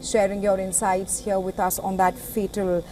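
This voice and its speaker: A woman's voice: medium pitch, level tone, normal speed, a little mellow, slight background noise, low-quality recording. A woman anchoring live breaking news and interviewing a guest.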